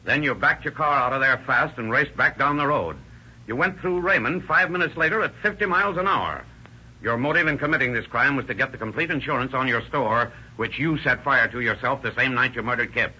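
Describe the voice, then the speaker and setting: A shrewd old-radio sheriff. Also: male voice, mid-range voice, brisk, sharp tone, slightly rough. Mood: stern. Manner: firm, methodical questioning. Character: shrewd male sheriff interrogating a suspect. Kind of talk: 1930s radio drama acting. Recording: muffled old radio recording.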